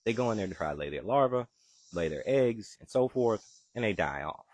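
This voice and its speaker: Deep husky Southern man, chatty. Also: lively intonation, a little fast, a little mellow. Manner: easygoing, chatty, answers viewer questions. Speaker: gardening show host. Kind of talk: live-stream garden chat. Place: outdoors in his backyard garden.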